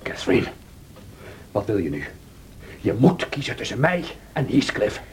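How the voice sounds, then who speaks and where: An actor playing an indignant, formal gentleman husband and master of the house in a radio drama, with a husky voice. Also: fairly low pitch, sing-song, measured pace, slight background noise. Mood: insistent.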